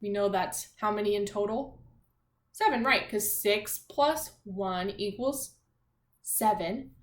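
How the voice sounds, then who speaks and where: A woman teacher talking young children through a read-aloud and math lesson in an animated, encouraging, sing-song way. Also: mid-range voice, slow pace. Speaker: primary school math teacher. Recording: echoey room, faint background noise.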